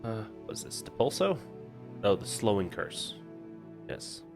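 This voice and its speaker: A man's voice: on the low side, big pitch swings, a little slow, creaky. A man doing casual live playthrough commentary as a streamer, thinking aloud and playfully repeating phrases. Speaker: video game streamer. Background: game music.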